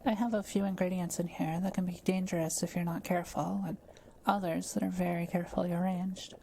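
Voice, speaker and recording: A woman playing a kind, patient witch in an intimate audio roleplay, explaining things gently and without hurry to one listener. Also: on the low side, steady tone, fairly quick, vocal fry, slight background noise. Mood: gentle, reassuring. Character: kind, quiet-living forest witch, patient and scholarly.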